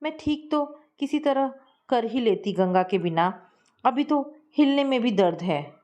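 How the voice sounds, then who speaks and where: A woman voicing an affectionate middle-aged mother-in-law, gentle and fondly scolding. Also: on the high side, animated, a little slow.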